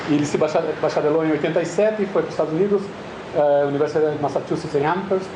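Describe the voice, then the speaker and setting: A man's voice: a little high, rapid-fire. A man with a slight Argentine accent chairing a colloquium session, introducing the speaker in a joking, chatty manner. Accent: Argentine Spanish. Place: lecture hall. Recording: echoey noisy lecture hall.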